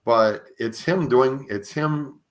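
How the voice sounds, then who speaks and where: A man's voice: mid-range voice, slow pace. A man hosting an interview podcast, talking conversationally in an earnest, explanatory way with repeated starts to his phrases. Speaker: podcast host. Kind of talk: conversational interview, sharing religious experiences.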